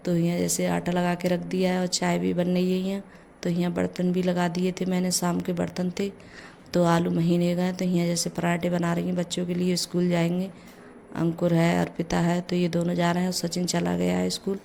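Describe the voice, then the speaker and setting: A middle-aged mother with a hoarse voice from a cold, chatting through a casual home vlog as she cooks breakfast and goes on with her housework, often saying "jaise" between her words. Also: on the low side, completely flat delivery, normal speed, dark tone, slight background noise. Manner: chatty, leans on the filler "jaise". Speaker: vlogger, mother. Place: home kitchen.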